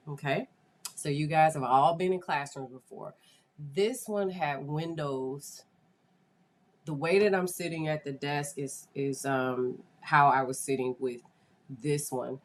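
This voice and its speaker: A woman hosting a live podcast in a casual chatty way, her voice nasal and congested from a cold. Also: deep voice. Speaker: podcast host, school psychologist.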